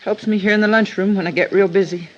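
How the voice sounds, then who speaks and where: An actress playing an anxious, guarded middle-aged lunchroom keeper in an old radio drama, with a halting delivery. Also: female voice, medium pitch, fairly even intonation, natural voice. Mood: uneasy, evasive. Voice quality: halting, stammering when nervous. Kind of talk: 1950s radio drama acting. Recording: muffled, noisy old radio recording.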